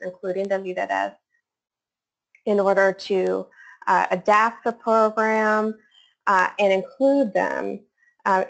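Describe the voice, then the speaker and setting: A woman's voice: mid-range voice, gravelly. A woman researcher giving a webinar presentation, hesitant, with many fillers and restarts. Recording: dull lo-fi webinar audio.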